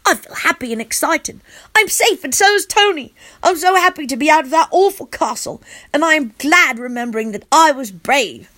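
A woman voicing a brave, excitable young child who recounts an adventure in an earnest, emphatic way. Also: sits high, moderate pace, voice pushed up. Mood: excited, relieved.

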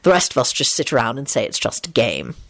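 A woman voicing a calm, wry adult wizard man in a storybook reading. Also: rumbling low, fast, dry recording, pitched below the performer's own voice, full character voice. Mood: wry, cheerful.

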